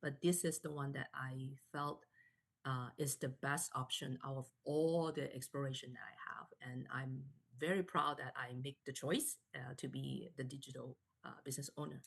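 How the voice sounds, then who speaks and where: A woman in her forties from Canada, a guest in a live online interview, telling her story softly with many fillers and restarts. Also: a bass voice, steady tone, normal speed, vocal fry, slightly rough.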